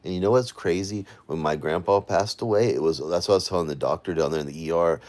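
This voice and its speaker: A tired man talks low and deep, his voice sitting at the bottom of the range. He rambles on, venting to his viewers in a loose, worn-out way during a late-night livestream from his home. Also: quick pace, a little mellow. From Florida, USA. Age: 40s. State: exhausted. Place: at home, indoors.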